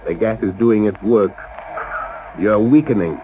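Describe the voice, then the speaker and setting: An actor playing a sinister, gloating doctor and saboteur in a theatrical 1930s radio drama style. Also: male voice, on the low side, a little slow, mellow, lightly acted. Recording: noisy old 1930s radio recording.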